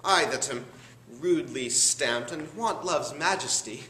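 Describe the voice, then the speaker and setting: A teenage male student performing a bitter, deformed, scheming duke in a theatrical, deliberate Shakespearean stage monologue. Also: fairly high pitch, bright, forceful tone. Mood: bitter, anguished. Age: teens. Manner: theatrical, deliberate, dramatic pauses. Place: high school classroom. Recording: roomy classroom recording, faint background noise.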